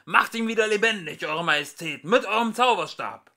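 A man voicing a loyal captain of witch-hunters in a storybook, urgent and shouting. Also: sits high, animated, moderate pace, pitched above the performer's own voice, heavily acted.

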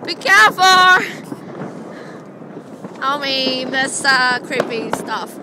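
A woman's voice: high voice, expressive, slow pace. A young woman chatting casually into a handheld camera on a city street at night, in non-native English with lots of fillers. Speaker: vlogger filming on the street. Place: outdoors on a city street at night during fireworks. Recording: loud street noise, fireworks bangs. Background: fireworks, traffic and street noise.

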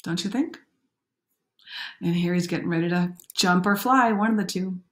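A woman's voice: lowish voice, normal speed. A middle-aged woman doing a picture-book read-aloud with chatty commentary, interjecting and putting questions to young listeners. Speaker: coach reading a picture book aloud to children.

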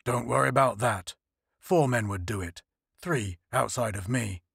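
A man voicing a calm, determined male space officer in a story reading. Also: medium pitch, unhurried, vocal fry, slightly rough, dry recording, studio quality, full character voice. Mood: steady.

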